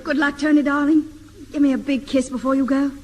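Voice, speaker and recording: A woman acts a boxer's doting sweetheart in vintage radio comedy. She is tender and encouraging, with a long reverb around her and clear noise on the old recording. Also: high voice, fairly even intonation, brisk, darkish tone, natural voice. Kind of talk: 1950s radio sitcom acting.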